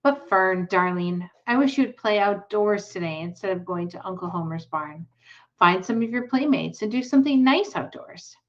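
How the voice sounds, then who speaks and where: A woman's voice: mid-range voice, animated, moderate pace, lo-fi sound, lightly acted. A woman reading the part of a worried, puzzled farm mother in a storybook.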